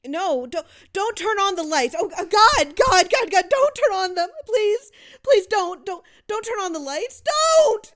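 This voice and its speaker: A woman's voice: extremely high pitch, sing-song, a little slow, fairly bright tone, pitched above the performer's own voice, heavily acted. A woman voicing a frightened mother in a horror story, stammering and gasping as she moves from anxiety toward hysteria. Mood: hysterical terror. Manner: stammering, gasping, repeating words. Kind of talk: dramatic horror story reading.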